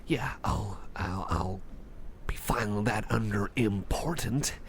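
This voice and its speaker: An eager, awkwardly smitten oddball , acted by a man, rambles through an ASMR roleplay in a lowish voice with plenty of creak. His pitch leaps about as he stammers, doubles back on phrases and drops into whispers. There is faint noise behind him. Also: slow. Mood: eager, gushing. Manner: scatterbrained, rambling, repeats phrases, hesitant stammering.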